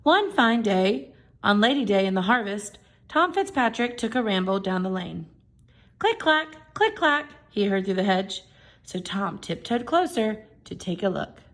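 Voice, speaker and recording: A woman reading a children's storybook aloud in expressive narration. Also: mid-range voice, moderate pace. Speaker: storybook reader.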